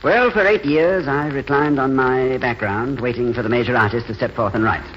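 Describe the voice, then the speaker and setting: An actor playing a gentle, world-weary failed writer in a 1940s radio drama, courteous, hesitant and self-mocking. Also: male voice, medium pitch, moderate pace, lightly acted. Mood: wry, self-mocking. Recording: muffled, noisy 1940s radio broadcast.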